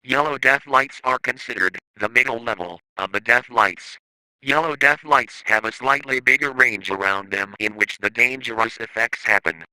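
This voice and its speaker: A man's voice: mid-range voice, moderate pace, pushed and bright, smooth, dry recording, low-quality recording. A man reading scripted analog horror narration in a formal, matter-of-fact, instructional way, like an informational course.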